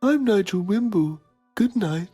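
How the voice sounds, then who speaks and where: A man's voice: sits high, lively intonation, a little slow, dark tone, husky, soft background music, heavily acted. A man voicing a solemn, self-important documentary journalist in a comedy game. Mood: grave, pompous. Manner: solemn, pompous. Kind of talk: mock documentary narration.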